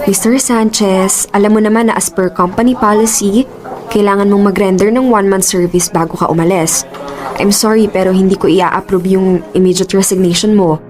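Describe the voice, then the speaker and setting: A woman's voice: mid-range voice, fairly even intonation, moderate pace, darkish tone, natural voice. An actress playing a composed but caring young female office boss in a Filipino radio drama, speaking earnestly with some English mixed in. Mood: firm. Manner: earnest, conversational, mixes English into speech. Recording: music under the voice. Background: background music.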